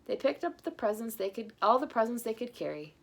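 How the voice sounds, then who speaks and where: A woman's voice: on the high side, very animated, brisk, gravelly, rough recording. A woman reading a children's story aloud, with playful asides. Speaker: storybook reader.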